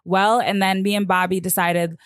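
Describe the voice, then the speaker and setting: A young woman from New York with a soft voice, a musician chatting casually as an interview guest, full of fillers and easy laughs. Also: mid-range voice, little pitch movement, normal speed, no echo, studio quality.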